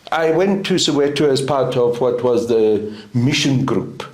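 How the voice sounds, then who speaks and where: A middle-aged South African man whose first language is Afrikaans, speaking in a deliberate, emphatic way as an interview guest. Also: mid-range voice, a little mellow. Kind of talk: interview answer. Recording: roomy echo.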